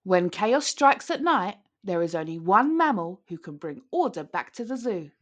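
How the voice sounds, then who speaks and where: A woman's voice: medium pitch, big pitch swings, normal speed. A woman reading a children's picture book aloud in a theatrical storytelling manner, stretching key words for emphasis.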